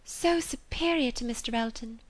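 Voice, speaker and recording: A woman's voice: fairly high pitch, moderate pace, voice pushed up, natural voice, poor audio. A woman voicing an earnest, impressionable young woman in love in a storybook, with an effusive, emphatic manner. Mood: solemn, indignant. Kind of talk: dramatised audiobook character reading.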